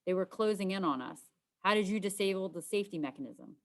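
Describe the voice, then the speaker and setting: A woman's voice: mid-range voice, expressive, fast talker, natural voice. A woman voicing a protective schoolboy in a children's storybook, insistent, exasperated and prone to bluffing. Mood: accusing. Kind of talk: children's storybook read-aloud.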